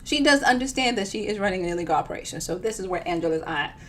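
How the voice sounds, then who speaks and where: A woman giving an expressive storytime reading of their own fiction, with chatty, informal asides. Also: mid-range voice, rapid-fire, slight background noise. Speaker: author reading their own novel on a live show.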